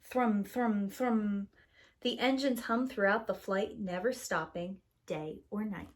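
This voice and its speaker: A woman's voice: mid-range voice, takes it slowly. A woman reading a children's story aloud expressively, with a rhythmic delivery and acted-out sound words. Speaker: preschool teacher reading a picture book aloud.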